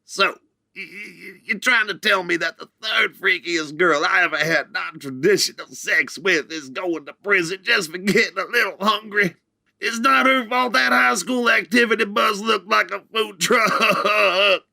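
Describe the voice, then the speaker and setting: A man playing a sleazy, fast-talking male hustler with brash salesman patter. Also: sits high, gravelly, sharp tone, no echo, heavily acted. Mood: scheming.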